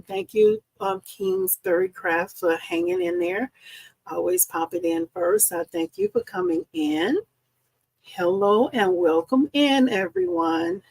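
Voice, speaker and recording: A woman hosting a live crafting stream from her home craft room, chatty and upbeat, slightly hoarse because she is losing her voice. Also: a little high, darkish tone, no echo, low-quality recording. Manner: chatty and upbeat, greets viewers by name. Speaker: host and embroidery business owner. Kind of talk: casual live-stream chat. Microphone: live-stream setup.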